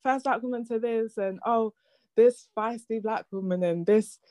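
A woman's voice: on the high side, normal speed, dark tone, no room sound. A woman, hosting a podcast and thinking aloud in a casual, reflective conversation. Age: 30s. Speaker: podcast host.

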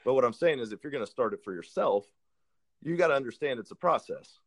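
An earnest man in his thirties talks through his work in a relaxed, casual exchange. He chuckles at himself, then settles into sincere advice, with a voice of ordinary middle pitch. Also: fast talker. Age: 30s. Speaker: realtor. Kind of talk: casual podcast conversation.

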